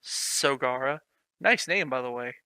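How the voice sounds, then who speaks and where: A man's voice: medium pitch, lively intonation, measured pace, bright, forceful tone, clean tone, no room sound. A man reading a clumsy fan story aloud, mocking it with sarcastic, swearing commentary. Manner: sarcastic, swears, laughs at the text.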